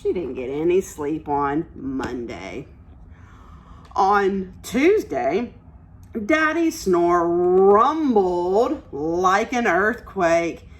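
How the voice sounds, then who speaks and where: A woman's voice: on the low side, animated, very slow. A woman teacher reading aloud to young children, explaining simply and asking encouraging questions as she goes. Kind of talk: interactive read-aloud lesson for young children.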